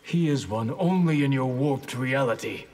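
An actor playing a stern, honorable ninja grandmaster in a video game, speaking in a terse, resolute, formal way. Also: male voice, mid-range voice, normal speed, darkish tone, natural voice. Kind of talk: video game character acting.

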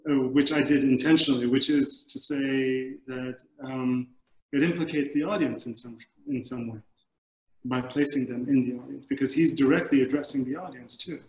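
Halting man in an echoey room. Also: mid-range voice, steady tone, a little mellow. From Middle East, born in Kuwait. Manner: halting, searching for words. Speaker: film director, guest. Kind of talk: thoughtful answers in a video panel discussion. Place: indoors, book-lined room at home. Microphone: computer mic on a video call. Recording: echoey room over video call.

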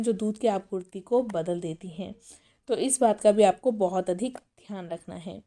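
A woman hosting a pregnancy health channel, explaining step by step and calling her viewers friends, her voice a little hoarse from a cough. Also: on the high side, mellow. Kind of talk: informational talk.

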